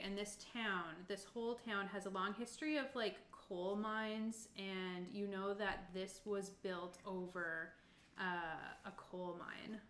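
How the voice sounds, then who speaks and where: A woman's voice: medium pitch, fairly bright tone. A woman narrating casually as game master at a tabletop roleplaying session. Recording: echoey room, noticeable background noise.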